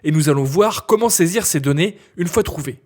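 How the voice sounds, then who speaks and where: Male instructor, slightly high husky voice, scripted step-by-step software tutorial read. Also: lively intonation, brisk.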